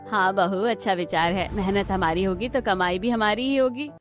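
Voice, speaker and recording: A woman's voice: medium pitch, normal speed, faint music behind, natural voice. A woman voicing a caring, practical mother-in-law in a cartoon story, motherly and encouraging.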